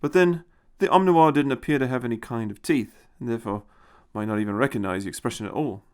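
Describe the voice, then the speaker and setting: A man's voice: medium pitch, fast, darkish tone, clean tone. A man reading a scripted story as a narrator, in a dramatic storytelling style. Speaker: audiobook narrator.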